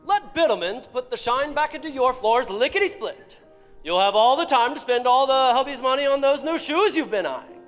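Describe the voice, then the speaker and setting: Brief: man as high, bouncy old-time ad announcer, stage hall echo. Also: lively intonation, moderate pace, a bit bright. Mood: salesy. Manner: bouncy, salesy, exaggerated pitch. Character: peppy 1940s radio announcer selling floor wax. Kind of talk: 1940s radio ad announcing, live on stage. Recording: theater hall reverb with faint music.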